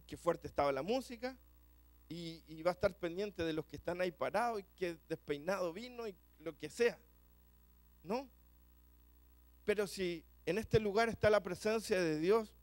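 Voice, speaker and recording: Chilean male preacher, rapid and restarting, fairly high voice. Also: no room sound. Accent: Chilean Spanish. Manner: fast-talking, repeats words when rushing. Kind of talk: sermon. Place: church.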